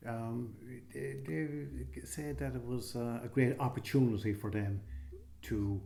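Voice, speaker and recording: A man's voice: medium pitch, fairly even intonation, unhurried, vocal fry, warm tone, slight background noise. A man interviewing casually and hesitantly, stumbling over the starts of his words, in a recording studio.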